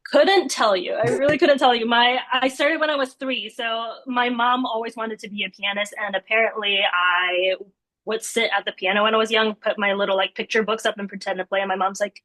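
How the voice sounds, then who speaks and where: A woman's voice: fairly high pitch, fairly even intonation, brisk, fairly bright tone, dry recording, rough recording. Thoughtful woman guest, dry sound. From Singapore. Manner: thoughtful, laughs often. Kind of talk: casual interview conversation.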